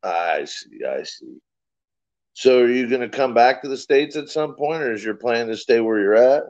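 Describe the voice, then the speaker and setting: A man's voice: mid-range voice, expressive, normal speed, darkish tone, no room sound, muffled recording. A man on a livestream panel, calling in by video and asking the guest short, curious questions. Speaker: panel member. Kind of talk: casual livestream chat.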